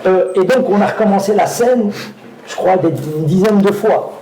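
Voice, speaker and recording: A man's voice: high-pitched, moderate pace, dark tone, reverberant, poor audio. A man, an actor guest telling anecdotes on stage, hesitant with many fillers and restarts, often laughing. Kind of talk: live talk on stage, storytelling.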